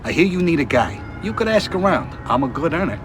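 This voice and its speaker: A man's voice: a little high, very animated, a little fast, over music. An actor playing a slick, boastful young mob henchman in a video game, cocky and glib. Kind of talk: video game cutscene acting.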